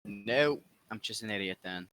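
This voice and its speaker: A man's voice: lowish voice, normal speed. A man chatting casually while playing a game, heard over a noisy, distant voice chat microphone. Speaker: game player.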